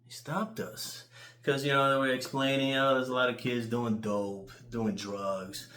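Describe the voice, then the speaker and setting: A man with a New York accent telling casual, rambling stories in an animated, crude way, swearing freely. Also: mid-range voice, normal speed, reverberant. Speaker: YouTuber.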